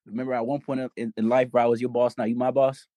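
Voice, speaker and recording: A young man co-hosts a podcast and trades slangy jokes with his friends. His voice is mid-pitched and the sound is close and dry. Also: flat delivery, fast, warm tone, no room sound. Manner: joking, slangy banter. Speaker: podcast co-host. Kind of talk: casual banter.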